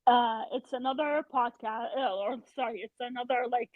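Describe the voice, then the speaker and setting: A woman from Iraq speaks as a podcast guest over a tinny, phone-like line. Her voice sits high and the sound is dry and close, and she talks in an animated way, with lots of ums and likes. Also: normal speed, no room sound. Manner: animated, frustrated, loud with many fillers. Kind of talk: casual conversation.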